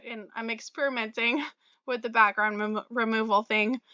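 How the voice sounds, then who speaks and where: A young woman vlogger, slightly raspy from a cold, chatting casually about her resale items with fillers and little laughs. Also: a little high, steady tone, moderate pace, pushed and bright, dry recording, dull audio. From Florida. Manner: chatty, friendly, many fillers and small laughs. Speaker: reseller vlogger. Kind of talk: casual talk to camera about sales.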